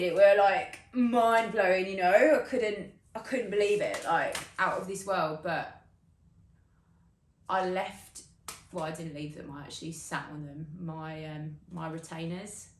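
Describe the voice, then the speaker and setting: Woman acting a chatty young musician, echoey room. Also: lowish voice. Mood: enthusiastic, then chatty, confiding. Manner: chatty, slangy, earnest. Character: upbeat, zealous young British musician obsessed with perfect teeth. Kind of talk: monologue performed as a casual interview. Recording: roomy echo, background noise.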